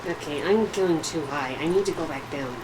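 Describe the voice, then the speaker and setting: A woman's voice: deep voice, normal speed, background noise. A woman livestreaming a video game with casual, off-the-cuff commentary. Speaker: game streamer. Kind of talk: casual live gameplay commentary. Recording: loud game music behind voice. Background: game music.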